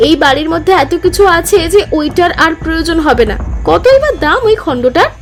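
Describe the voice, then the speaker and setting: Cartoon role: woman voicing a stubborn greedy young wife, piercing high voice, faint music. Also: sing-song, brisk, exaggerated voice. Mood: scornful. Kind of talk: cartoon voice acting.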